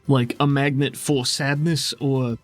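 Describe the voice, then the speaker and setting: A man's voice: medium pitch, level tone, unhurried, soft background music, natural voice. A man playing a helpful, cautious guide in an improvised tabletop game, casual and reassuring. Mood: thoughtful, probing. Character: helpful, cautious male guide with circuitry, inside a brainscape. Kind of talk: improvised in-character game dialogue.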